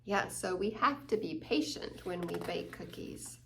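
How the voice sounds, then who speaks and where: A woman's voice: on the low side, animated, a little slow, gravelly, fairly bright tone, slight background noise, low-quality recording. A woman hosting a children's story time, reading a picture book aloud and explaining it with questions to the young listeners.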